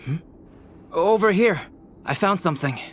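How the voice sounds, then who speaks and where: Calm analytical young alchemist. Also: male voice, sits high. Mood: intent. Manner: measured, thoughtful. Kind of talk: video game character acting. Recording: faint background noise.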